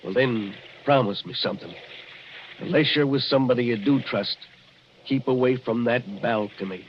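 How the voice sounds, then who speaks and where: A man's voice: medium pitch, moderate pace, natural voice. An actor playing a plain-spoken, cautionary old local man in a folksy 1940s radio drama style. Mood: grave warning. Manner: folksy, emphatic storyteller. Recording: muffled, hissy old radio recording.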